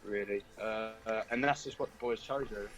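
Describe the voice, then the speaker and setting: A man's voice: lowish voice, fairly quick. A man chatting casually as an interviewed musician, hesitant and self-deprecating. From South of England. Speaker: band member and songwriter being interviewed. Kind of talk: casual chat.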